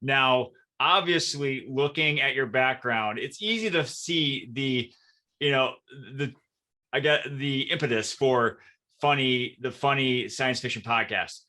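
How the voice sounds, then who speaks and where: A man's voice: mid-range voice, a little slow, sharp tone. A man hosting a podcast interview in a casual, supportive and attentive way.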